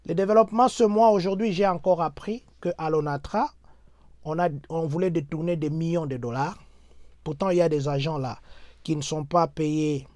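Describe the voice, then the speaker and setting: A man's voice: a little high, a little mellow, dry recording. Impassioned man with Congolese French accent. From Democratic Republic of the Congo. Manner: impassioned and exhorting, with repeated rallying phrases and French mixed with Lingala. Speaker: community speaker addressing compatriots. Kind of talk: motivational civic address. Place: United States.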